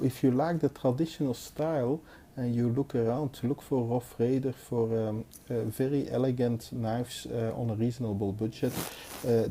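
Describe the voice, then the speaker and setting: Mellow, dark-voiced Belgian man reviewing. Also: mid-range voice, slight background noise. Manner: hesitant, halting, unscripted delivery. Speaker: knife collector and reviewer. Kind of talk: casual hands-on review commentary. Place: indoors, at a table.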